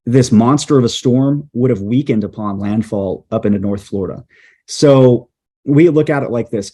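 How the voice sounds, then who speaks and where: A man, a storm chaser and journalist, telling a story in a casual interview, animated and full of fillers. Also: medium pitch. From USA. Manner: animated, hesitant fillers, quickens at times.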